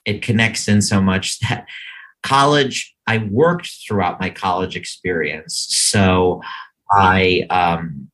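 A man with a deep, low voice talks loosely as an interview guest. He rambles, circles back and fills pauses with ums. He is speaking from an office. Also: a bit bright, low-quality recording. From New York. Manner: rambling, many ums and restarts. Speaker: guest, media sales executive. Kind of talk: casual interview chat.

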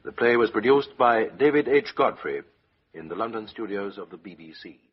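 A man reading the closing credits of a radio play in a measured, formal announcer style. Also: fairly low pitch, lively intonation, slightly rough. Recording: dull lo-fi 1950s radio broadcast.

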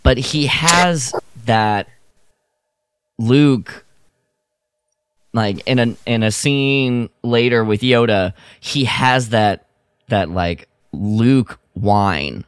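A man on a podcast panel chatting casually in a halting, wordy way. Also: mid-range voice, smooth, dry recording, clean studio sound. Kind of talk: casual chat.

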